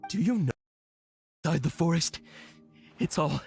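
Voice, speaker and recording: An actor playing a jittery, paranoid herb gatherer in a video game, stammering and frantic. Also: male voice, fairly high pitch, expressive, a little slow, vocal fry, dark tone, faint music behind. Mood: shaken, paranoid. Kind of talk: scripted video game dialogue.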